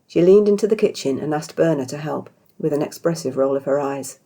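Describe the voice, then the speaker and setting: A woman with a British accent, reading a fantasy story aloud as an expressive narrator. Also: sits low, a little fast. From Britain. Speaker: author reading her own story.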